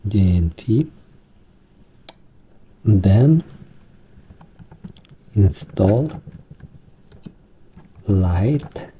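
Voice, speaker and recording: Slow, halting man with a Romanian accent. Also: fairly low pitch, dark tone, slightly rough, highs cut off. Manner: halting, searching for words, many restarts. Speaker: tech vlogger. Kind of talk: screen-recorded tutorial.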